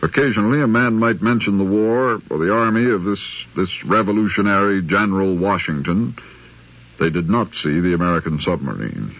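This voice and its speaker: Deep, husky male radio narrator. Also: lively intonation, normal speed, a bit bright, no room sound. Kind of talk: dramatic scripted radio narration. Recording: dull 1950s radio recording.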